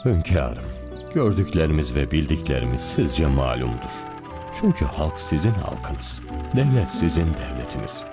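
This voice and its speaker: Grave, wise cartoon priest: extremely deep voice, solemn delivery with wide intonation. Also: male voice, sing-song, normal speed, over music, exaggerated voice. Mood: solemn, reverent. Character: grave, wise Orthodox priest, courteous and thoughtful. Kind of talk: cartoon voice acting.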